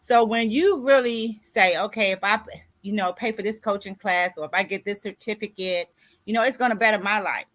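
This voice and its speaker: A woman's voice: medium pitch, a little fast, fairly bright tone. A woman in her sixties hosting a conversational radio show, talking in an emphatic, exhorting way and often checking that listeners follow. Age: 60s. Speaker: host and author mentoring co-authors. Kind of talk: motivational conversational radio talk.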